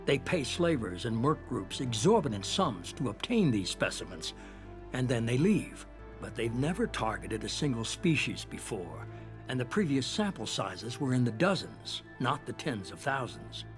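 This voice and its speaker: An actor playing a shadowy, calculating middle-aged leader in a sci-fi game, speaking with measured, composed authority. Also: male voice, mid-range voice, sing-song, over music, lightly acted. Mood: cool, measured. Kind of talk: cinematic sci-fi game dialogue.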